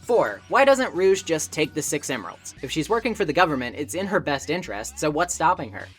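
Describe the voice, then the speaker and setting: A woman's voice: low-pitched, big pitch swings, brisk, faint music behind. A woman delivering scripted video essay narration in a conversational way, with wry asides.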